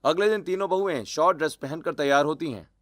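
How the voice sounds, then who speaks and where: A man's voice: a little high, fast, a bit bright, no echo, clean studio sound. A man narrating a scripted cartoon story.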